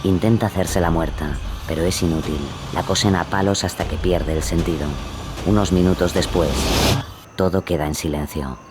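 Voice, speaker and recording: Man, very low voice, dramatic scripted narration, true-crime storyteller, noisy background ambience. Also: steady tone, moderate pace. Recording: clear background noise under the voice.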